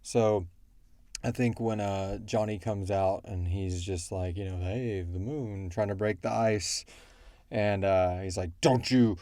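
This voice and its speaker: A man's voice: lowish voice, steady tone, slow, warm tone, no echo, studio quality. A man hosting a casual question-and-answer podcast, talking in an informal, joking, slangy way. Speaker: podcast host and show creator. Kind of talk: casual Q&A discussion.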